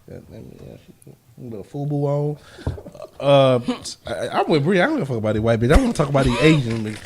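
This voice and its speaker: A man's voice: mid-range voice, big pitch swings, a little fast, noisy background. A man co-hosting a podcast, rambling and joking in casual, profane slang. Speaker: podcast co-host.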